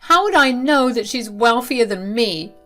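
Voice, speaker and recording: A woman's voice: fairly high pitch, lively intonation, unhurried, a bit bright, faint music behind, pitched above the performer's own voice. Woman doing a whiny spoiled mother. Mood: whining. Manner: whiny, desperate begging. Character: spoiled pleading middle-aged woman.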